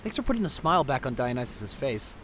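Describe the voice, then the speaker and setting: A woman's voice: extremely low pitch, sing-song, fast, slight background noise. A woman voicing a cheeky, chatty young winged messenger god in a fantasy audio drama, breezy and sarcastic. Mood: playful, curious.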